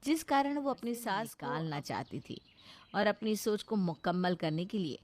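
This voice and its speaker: A woman's voice: mid-range voice, expressive, normal speed, darkish tone. A woman giving a scripted narration of a moral story.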